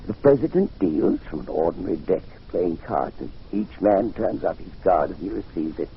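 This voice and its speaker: An actor playing a frail, decadent old gentleman in an old-time radio drama, gravelly and halting, savoring his words as they break into breathy whispers. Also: deep voice, lively intonation. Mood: trembling relish. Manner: theatrical, savoring, halting, courtly. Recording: dull, hissy vintage radio recording.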